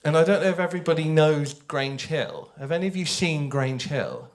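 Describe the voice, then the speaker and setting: A man talking informally to an audience as a writer on a panel, animated and conversational, often checking in with questions. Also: fairly high pitch, moderate pace. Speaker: audio drama writer on a panel.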